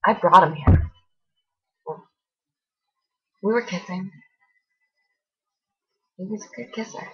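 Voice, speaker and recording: A woman acting a hurt, accusing young woman confronting her former best friend in a halting, emotional dramatic monologue. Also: mid-range voice, dull audio, natural voice. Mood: tense, confessional. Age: young adult.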